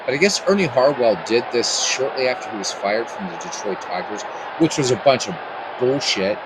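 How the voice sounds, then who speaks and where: A man's voice: medium pitch, expressive, moderate pace. A man chatting casually and rambling over his gameplay, with many restarts. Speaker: gameplay channel host. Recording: loud game crowd and noise underneath. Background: loud crowd ambience from the game.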